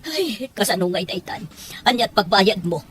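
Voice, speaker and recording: A woman playing an excitable, emotional mother in a Filipino audio drama, boastful and easily distressed. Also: medium pitch, normal speed, slight background noise, close to an everyday voice. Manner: emotional, exclamatory.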